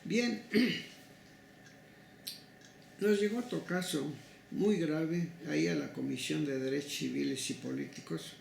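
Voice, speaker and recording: Older mexican guy telling old cases in an interview, super croaky voice, halting n deliberate, echoey room w some noise. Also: on the high side. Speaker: interviewee, human rights legal advocate. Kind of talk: recounting past cases in an interview.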